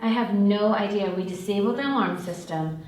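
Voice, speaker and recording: A woman's voice: medium pitch, normal speed, a little mellow, close to an everyday voice. Cautious woman thief in an echoey room actress. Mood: worried. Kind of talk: table read of a screenplay. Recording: very echoey room, distant mic.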